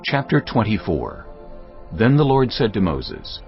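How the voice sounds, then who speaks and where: A deep-voiced man narrates scripture with a lively, expressive delivery. His pitch rises and falls often. The sound is dull and lo-fi, with faint music behind him. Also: a little slow.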